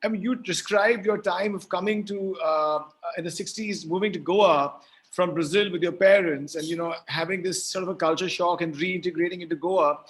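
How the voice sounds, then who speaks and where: A man's voice: high-pitched, steady tone. Friendly man moderating a panel. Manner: friendly, halting questions. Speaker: host, moderator.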